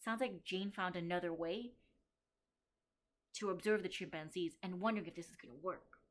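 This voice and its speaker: A kindergarten teacher, a woman, reading a picture book aloud to young children and stopping to think aloud and explain what the author and illustrator show. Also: fairly low pitch. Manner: slows down and stresses key story lines.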